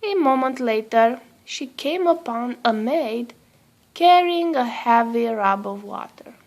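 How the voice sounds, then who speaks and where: A woman narrates a children's storybook. Her voice is high and her pace is slow. She phrases each line deliberately and expressively, as scripted read-aloud narration. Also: expressive, a little mellow. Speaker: storybook reader.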